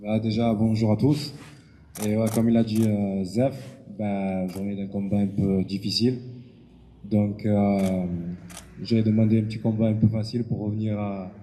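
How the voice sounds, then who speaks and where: Low, dark-voiced male boxer in a hall. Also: level tone. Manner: halting and soft-spoken, with filler pauses. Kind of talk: press conference statement. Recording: long hall reverb with background noise.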